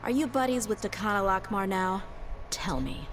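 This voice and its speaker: A woman's voice: medium pitch, moderate pace, a little hiss or hum, lightly acted. An actress playing a tough, confident female mercenary in a game, blunt and self-assured. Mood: menacing. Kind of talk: game voice acting. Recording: game audio with music behind.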